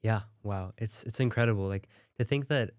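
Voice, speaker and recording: Friendly young interviewer, low smooth voice. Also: male voice, normal speed, a little mellow, no room sound, clean studio sound. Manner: friendly, filler-heavy, enthusiastic. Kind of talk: casual interview.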